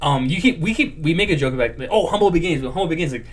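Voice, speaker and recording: A man hosting a podcast in casual chat, relaxed and rambling with lots of "like". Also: a little high, very fast. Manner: relaxed, rambling with many fillers. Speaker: podcast host.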